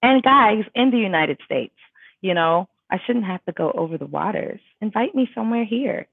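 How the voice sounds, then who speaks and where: A woman's voice: mid-range voice, lively intonation, normal speed, gravelly, no room sound. A woman vocal coach in a casual livestream question-and-answer session, reading viewers' questions aloud and answering in a chatty, emphatic way with many fillers. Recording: tinny dry phone-like sound.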